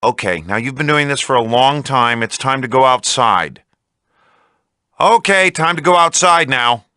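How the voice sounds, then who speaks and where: An actor playing a nagging but patient adult in a video game, coaxing and admonishing. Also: male voice, medium pitch, lively intonation, a little slow, bright, forceful tone.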